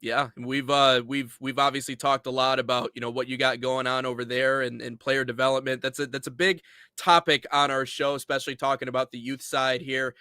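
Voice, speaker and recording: A man hosting a soccer podcast, welcoming a guest in a relaxed, loose way. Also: mid-range voice, fairly quick, clean tone, no echo, studio quality. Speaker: podcast host. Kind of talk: podcast interview.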